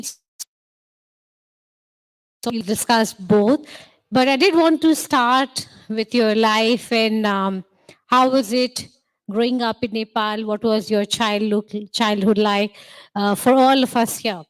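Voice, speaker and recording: A woman with a slightly raised pitch leads a festival stage interview. She asks gentle, encouraging questions and often pauses on fillers as she phrases them. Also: rough recording. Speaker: moderator.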